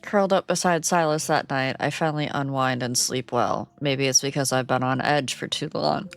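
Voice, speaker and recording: A woman's voice: rumbling low, fairly even intonation, normal speed, faint music behind. A woman streamer reading a game aloud and reacting with playful, giggly commentary.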